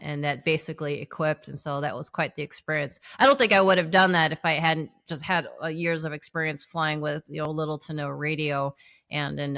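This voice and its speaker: A woman with a slightly low voice talks as a guest in a relaxed, conversational interview. She recounts her own experience as an instructor, and the sound is close and dry. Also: no room sound. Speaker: pilot and flight instructor guest.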